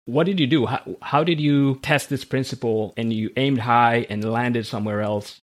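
A man's voice: mid-range voice, fairly even intonation, moderate pace. A man hosting a podcast, reading a motivational script in an earnest tone, then interviewing a guest. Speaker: podcast host.

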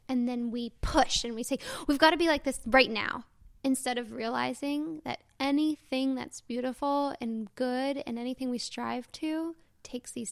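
A woman's voice: sits high, creaky, no echo. A woman co-hosting a podcast, a youthful-sounding voice, chatting in a rambling way full of likes and restarts. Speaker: podcast co-host. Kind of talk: casual chat.

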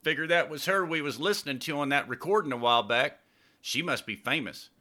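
A man's voice: fairly high pitch, fairly quick, pushed and bright, voice pushed up. A man playing an easygoing, joking young spaceman with a faded Texas accent and folksy manner in an audiobook. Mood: reassuring, playful. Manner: folksy, laid-back, joking. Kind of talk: audiobook character dialogue.

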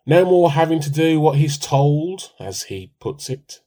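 A man's voice: fairly high pitch, measured pace. A man, playing a timid, comically earnest, melodramatic husband in a radio play. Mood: defensive. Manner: pompous, excitable. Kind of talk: amateur radio play dialogue.

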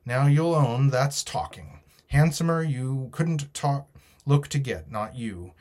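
A man's voice: mid-range voice, takes it slowly, a little mellow, voice pushed up, natural voice. A man voicing a wily, blustering old pirate ringleader who talks in folksy sailor's dialect and oaths in a storybook reading. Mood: wheedling, bargaining.

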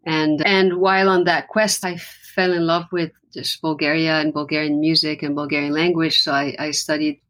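A woman from Lebanon speaks as a musician guest in a conversational interview. Her voice sits slightly low, and she talks in an earnest, reflective way, often restarting her sentences. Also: level tone, normal speed, hardly any pauses, fairly bright tone.

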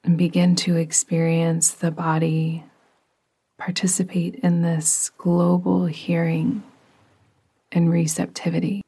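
Slow, husky, breathy woman meditation guide. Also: fairly low pitch, little pitch movement. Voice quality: soft, breathy, partly whispery. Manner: soothing, gentle, unhurried. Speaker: yoga nidra meditation guide.

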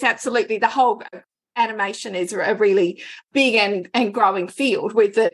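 A woman's voice: medium pitch, slightly rough. A woman hosting an interview podcast, upbeat and affirming toward her guests. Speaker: podcast host.

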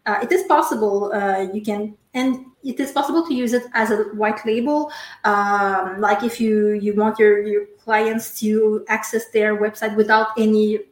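A woman's voice: mid-range voice, steady tone, normal speed. Hesitant woman in a roomy space. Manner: hesitant, many uhs and restarts. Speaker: guest, company sales contact. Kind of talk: interview answers. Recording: roomy echo.

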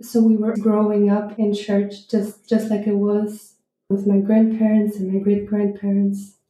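Soft-toned woman, roomy sound. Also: medium pitch, completely flat delivery, unhurried. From Germany. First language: German. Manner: earnest, with hesitant restarts. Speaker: interviewee. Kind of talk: personal history interview. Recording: roomy echo.

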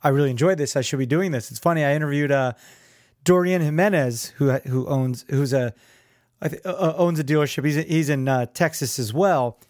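Higher-pitched male podcast host. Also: a little fast, darkish tone, no echo, studio quality. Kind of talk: casual interview chat.

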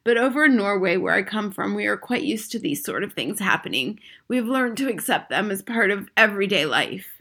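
Storybook role: elderly Norwegian grandmother, composed and wily, bright clear-cut delivery female reader. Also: medium pitch, fairly quick, hardly any pauses, exaggerated voice. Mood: calm, reasoning. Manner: calm, matter-of-fact, unruffled. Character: calm, sly old Norwegian grandmother, cigar-puffing.

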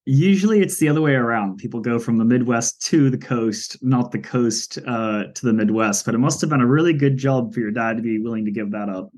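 A man's voice: mid-range voice, darkish tone. Upbeat male podcast host. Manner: upbeat introductions with halting restarts. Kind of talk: podcast interview.

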